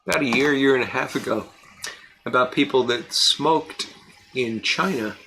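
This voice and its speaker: A man's voice: medium pitch, creaky, sharp tone, slight background noise, rough recording. A man hosting a livestream, chatting casually and answering viewers by name. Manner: chatty, answers chat comments by name, laughs easily. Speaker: livestream host reading viewer chat. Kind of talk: casual live chat.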